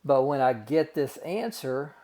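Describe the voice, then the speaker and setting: A man's voice: mid-range voice, expressive, unhurried, dark tone. A man teaching a recorded math lesson, working through calculations step by step in a folksy way and calling his students guys and gang.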